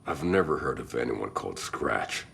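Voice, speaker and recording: An actor playing a self-assured, menacing small-town cult leader with a gravelly voice and a sly, folksy manner in a video game. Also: male voice, big pitch swings, normal speed, a bit bright, heavily acted. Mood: grim. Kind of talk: video game cutscene acting. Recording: light background noise with low rumble.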